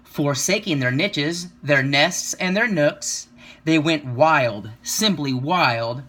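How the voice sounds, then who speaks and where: A man reads a children's story aloud in a fairly high, bright and forward voice. He follows the rhythm of the verse expressively, leaning on key words and stretching them out. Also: takes it slowly. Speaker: reader of a children's picture book.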